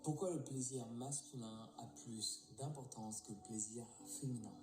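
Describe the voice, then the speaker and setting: A man's voice: medium pitch, normal speed. A man lecturing as a self-help coach, preachy and assertive. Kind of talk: lecturing video clips. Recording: echoey clip with loud music.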